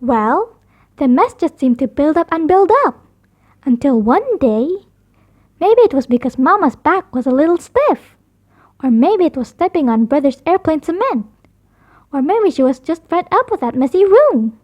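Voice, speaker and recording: A woman reads a children's story aloud as narrator. Her voice is high, and her intonation rises and falls in a very lively way. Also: normal speed, dull audio.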